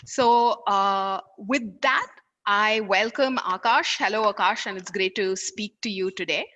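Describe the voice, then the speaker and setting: A woman's voice: medium pitch, unhurried, sharp tone, a little hiss or hum. A woman from India, a native Tamil speaker with an Indian accent, introducing a discussion as its moderator.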